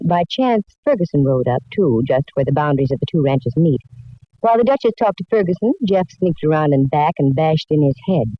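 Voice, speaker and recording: A woman's voice: deep voice, dry recording. A woman playing a shrewd, wry, self-assured private detective in a 1940s radio drama, laying things out with wisecracks. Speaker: lead actress and narrator. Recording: dull vintage radio recording.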